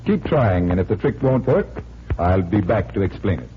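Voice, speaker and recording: An actor playing a confident magician detective, explaining patiently in 1940s radio style. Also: male voice, sits low, very animated, moderate pace. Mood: assured, instructive. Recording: muffled 1940s radio recording, faint hiss.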